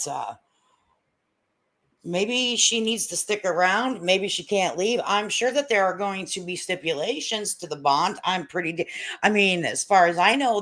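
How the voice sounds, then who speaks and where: A woman's voice: lowish voice, a bit bright. A woman hosting a casual livestream commentary, talking to her chat in blunt, opinionated, folksy slang and repeating points for emphasis. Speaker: livestream host.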